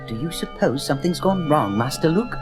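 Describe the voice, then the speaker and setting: A man's voice: on the high side, animated, a little fast, over music, close to an everyday voice. A man playing an anxious, fussy, polite protocol droid in an audio drama, speaking in prim, formal phrases. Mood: worried.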